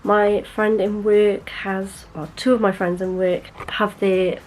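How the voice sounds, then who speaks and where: A woman's voice: medium pitch, little pitch movement, slow pace, a little hiss or hum, rough recording. A young woman, chatting to camera in a casual vlog, bubbly and rambling, correcting herself as she goes. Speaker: beauty vlogger.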